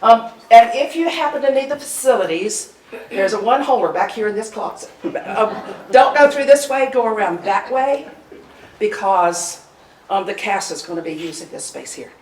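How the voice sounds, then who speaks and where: A woman's voice: medium pitch, animated, normal speed, a little mellow. A woman giving an informal, chatty pre-show welcome to a live audience, with joking asides as presenter. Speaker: presenter introducing a staged reading. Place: indoor arts venue, before a live audience. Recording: roomy, distant live-event recording with audience noise.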